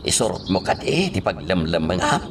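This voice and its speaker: A man's voice: low-pitched, wildly swooping pitch, normal speed, background noise, heavily acted. A man playing a subservient henchman in a radio drama, hesitant and deferential. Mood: nervous. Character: subservient, hesitant male henchman to a gang boss. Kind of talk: melodramatic radio drama acting.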